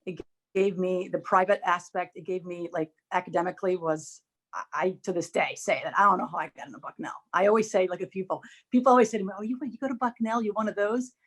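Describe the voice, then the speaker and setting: Chatty woman in her forties, low voice. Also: quick pace. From Dominican Republic. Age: 40s. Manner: lively, chatty storyteller with many fillers. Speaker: former college tennis player. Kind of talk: casual video call interview.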